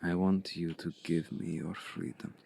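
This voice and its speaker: A man playing a seductive, domineering vampire hypnotist in an intimate ASMR roleplay, speaking in a hushed, deliberate, breathy voice that often slips toward a whisper. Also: level tone, exaggerated voice. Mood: commanding, hungry. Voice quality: low, breathy, gravelly, often slipping into whisper. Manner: deliberate, commanding, coaxing with long pauses.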